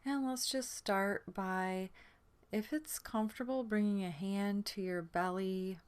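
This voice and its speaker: A woman with a mid-pitched, soft voice leads a sleep meditation. She speaks slowly and gently, leaving room between phrases. Her words often fade into a breathy, soothing near-whisper. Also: steady tone. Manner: soothing, gentle, unhurried with long pauses. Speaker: guided meditation instructor.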